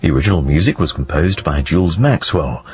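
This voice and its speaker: A man's voice: very deep voice, animated, fairly quick. A man reading scripted closing credits as a radio announcer. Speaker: continuity announcer.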